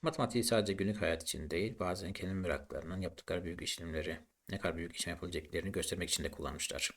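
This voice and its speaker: A man's voice: sits low, racing, fairly bright tone. A man teaching a maths lesson in an explanatory, didactic way, posing questions and then answering them.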